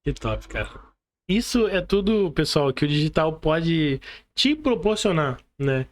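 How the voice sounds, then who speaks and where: A man with a high voice and a Brazilian accent hosts a casual interview. He talks informally and keeps tagging his sentences with little questions for agreement. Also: very animated, unhurried. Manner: informal, frequent tag questions seeking agreement. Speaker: podcast host interviewing a guest.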